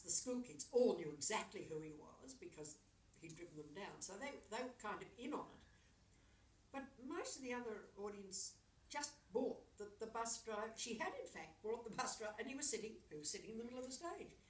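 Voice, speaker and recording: A woman in her sixties telling anecdotes in an animated way, acting out bits of dialogue as she goes. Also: a little high, normal speed. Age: 60s. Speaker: storyteller. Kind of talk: anecdotal storytelling. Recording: roomy echo, distant mic, faint noise.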